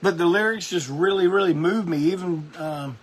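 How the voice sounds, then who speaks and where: A man's voice: high voice, moderate pace, slightly rough. A man hosting a music show, talking casually and enthusiastically about records, rambling with lots of restarts.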